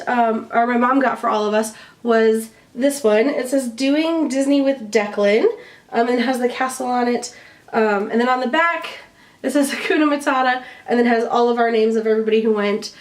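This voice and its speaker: A woman with a somewhat high voice talks casually to her viewers, cheerful and rambling with plenty of ums. Her nose is stuffy from a recent bronchitis. She sits a little back from the microphone. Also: distant mic. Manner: chatty, lots of ums, cheerful. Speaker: vlogger showing a shopping haul. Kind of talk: casual chat to camera.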